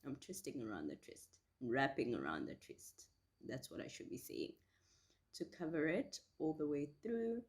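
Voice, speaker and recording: A woman with a slightly hoarse voice from a cold, chatting casually and reassuringly through a step-by-step tutorial. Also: deep voice, wildly swooping pitch, normal speed. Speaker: hairstyle tutorial host.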